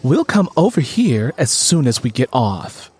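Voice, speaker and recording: A man's voice: medium pitch, sing-song, measured pace, a little mellow, breathy edge. A man voicing a sassy, flirtatious wife in an audiobook, with a teasing, sultry manner. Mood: eager.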